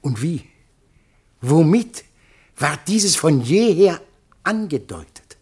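An actor playing a pompous, long-winded scholar who lectures in florid style in a radio play, with a husky voice. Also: male voice, on the high side, big pitch swings, takes it slowly, a little mellow, full character voice. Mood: grandiose, solemn. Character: pompous, long-winded male scholar showing off paintings to a prince. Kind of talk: German radio play acting.

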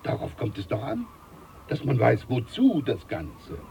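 A man's voice: medium pitch, wildly swooping pitch, unhurried, gravelly, mellow, a little hiss or hum, heavily acted. A man playing a jovial, scheming older ship's doctor in a German radio play, pompous, overfamiliar and emphatic. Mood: confiding, self-important.

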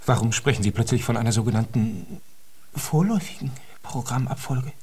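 An actor in a German radio drama playing a flustered, fretful conductor and concert organizer, speaking in a wordy, formal, theatrical way. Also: male voice, mid-range voice, fairly quick, a little mellow, breathy edge, natural voice. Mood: alarmed, agitated.

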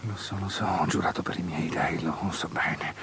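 An actor playing a lustful, desperate tetrarch of Judea in a theatrical radio drama, halting and repetitive, lurching between murmurs and outbursts. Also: male voice, rumbling low, fairly even intonation, fairly bright tone. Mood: anxious pleading. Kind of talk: avant-garde theatrical radio drama acting. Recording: noisy old drama recording with hum.

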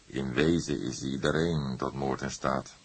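A grey-haired veteran detective in a crime audiobook, voiced by a male narrator. His voice is low and husky, and he reasons in a calm, stern, deliberate way. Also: heavily acted. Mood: firm.

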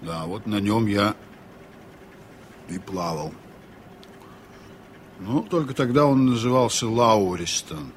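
An actor playing a seasoned, honest sea captain in a reflective, measured way, in a 1980s Soviet radio drama. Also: male voice, on the low side, expressive. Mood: reminiscing. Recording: noisy old radio play recording.

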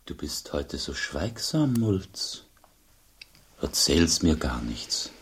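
Very low, husky herd boy. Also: sing-song, takes it slowly, full character voice. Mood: curious. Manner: simple, gently questioning. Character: kind, naive young village herd boy. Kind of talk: radio drama dialogue.

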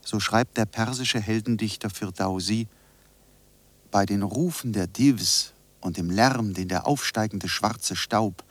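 A learned, measured scholar reads a scripted radio essay. His voice is on the low side and recorded completely dry, with no room around it. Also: no echo. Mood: measured, scholarly. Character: learned male composer and soundscape researcher, measured. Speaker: lecturing expert.

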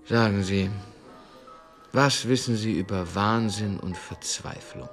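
A man's voice: lowish voice, slow, fairly bright tone, soft background music. A man playing a sullen, gloomy anarchist comrade in a radio play, answering tersely and morosely. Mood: brooding. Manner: terse, morose, grunting replies.

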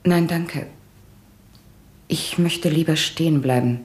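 A guilty wife speaks in a low voice with a Swiss German accent. She talks slowly, in a halting and subdued way. It sounds like a 1970s radio play. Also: a little mellow. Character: guilty married woman, a murderer. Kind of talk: 1970s radio drama acting.

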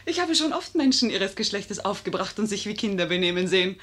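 A woman's voice: medium pitch, animated, brisk, a bit bright, lightly acted. An actress playing a clever, proud, passionate lady in a 1950s German radio play, with a theatrical, eloquent and pointed way of speaking. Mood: defiant, taunting.

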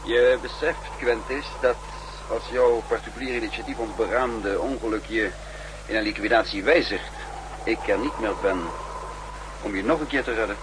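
A man acting a grave captive intelligence chief in a 1960s radio drama, measured and dramatic. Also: fairly low pitch, lightly acted. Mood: tense, restrained. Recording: noisy, humming old radio recording.

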